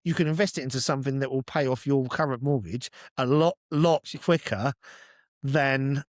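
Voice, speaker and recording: A man's voice: medium pitch, normal speed, dry recording, clean studio sound. A British man co-hosting a casual podcast chat, explaining his opinions in a sweary, insistent way and answering his own questions. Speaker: podcast co-host.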